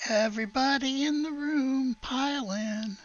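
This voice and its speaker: A man narrating a tabletop roleplaying game as game master, halting as he searches for words. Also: very high voice, muffled recording.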